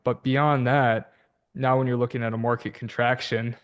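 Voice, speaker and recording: Mid-pitched man hosting a conversational podcast. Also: level tone, moderate pace, smooth. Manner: agreeable, seeks confirmation with tag questions. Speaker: podcast host. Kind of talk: conversational interview.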